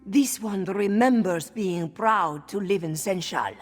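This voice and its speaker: A woman's voice: medium pitch, takes it slowly. AI woman voice doing a proud cat-woman local who speaks in third person, with a reverb tail and game music behind. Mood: earnest. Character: proud elderly female cat-folk townswoman.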